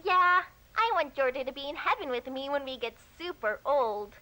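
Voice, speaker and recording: A woman's voice: high-pitched, expressive, normal speed, bright, forceful tone. A woman voicing an innocent, inquisitive little girl puppet in a naive, wide-eyed, childlike way. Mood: earnest. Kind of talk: children's puppet show parody.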